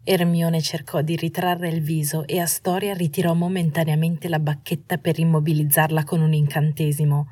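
A woman's voice: deep voice, dark tone, breathy edge, dry recording. A woman reading a scripted story as an audiobook narrator.

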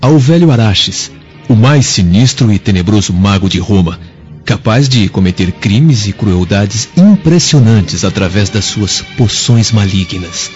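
A man's voice: on the low side, lively intonation, measured pace, a little mellow. An actor playing a cynical, scheming man of ancient Rome in a radio drama, with formal, courtly, insinuating speech. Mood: ominous. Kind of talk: dramatic radio play acting. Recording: dull lo-fi audio with music behind.

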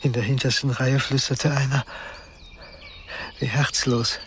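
An actor playing a sensitive, withdrawn young man, narrating his memories in a reflective, measured way in a literary radio drama. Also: mid-range voice, little pitch movement, slight background noise, natural voice. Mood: quiet, melancholic. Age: young adult. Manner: reflective, measured, hushed at times.

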